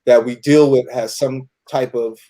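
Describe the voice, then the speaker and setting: Mellow-voiced man chatting casually. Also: medium pitch, steady tone, measured pace. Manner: loose, repeats "you know" often. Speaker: audio producer and cast member being interviewed. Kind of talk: casual, slangy group interview.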